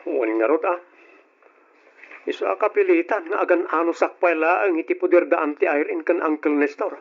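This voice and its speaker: Male actor for put-upon young nephew, Ilocano Filipino accent, radio drama, slightly high pitch, dull audio. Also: normal speed. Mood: resentful, weary. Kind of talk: old-style Ilocano radio drama acting.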